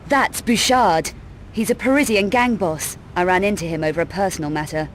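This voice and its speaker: A woman's voice: fairly low pitch, moderate pace, slight background noise, lightly acted. A woman with a British accent playing a tough, sardonic female adventurer in a video game, speaking in a clipped, dry, demanding way. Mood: impatient, sardonic. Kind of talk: video game cutscene acting.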